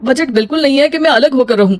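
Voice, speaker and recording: A woman's voice: sits high, fast talker, voice pushed up, lightly acted. A woman voicing a caring, responsible elder brother in an emotional cartoon story. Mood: earnest appeal. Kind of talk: melodramatic cartoon story acting.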